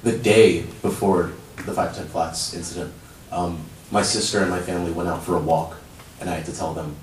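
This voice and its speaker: A man's voice: low voice, fairly even intonation. Young man pleading in an echoey chamber. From child of immigrants. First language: Urdu. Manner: halting, many restarts, rising to pleading urgency. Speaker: public commenter at a council meeting. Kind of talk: impassioned public comment. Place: council chamber. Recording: roomy hall, distant mic, faint hum.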